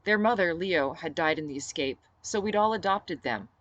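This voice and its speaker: Woman author reading her story, lowish voice, really animated, dry close sound. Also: moderate pace, dry recording, lo-fi sound. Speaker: author reading own novel. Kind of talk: expressive first-person audiobook narration.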